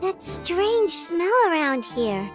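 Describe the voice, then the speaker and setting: A woman voicing a small, childlike fairy companion in a video game, chatty and inquisitive with a squeaky voice. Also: big pitch swings, slow pace, faint music behind, exaggerated voice. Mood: curious, puzzled.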